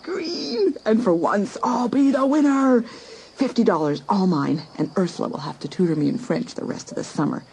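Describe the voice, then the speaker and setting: A woman's voice: medium pitch, expressive, moderate pace, a little mellow, a little hiss or hum, close to an everyday voice. A woman playing a scheming, competitive, jealous teenage girl in a dramatised audiobook, talking to herself in theatrical exclamations. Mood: scheming, defiant. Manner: theatrical, exclamatory self-talk. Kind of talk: dramatised audiobook acting, thinking aloud.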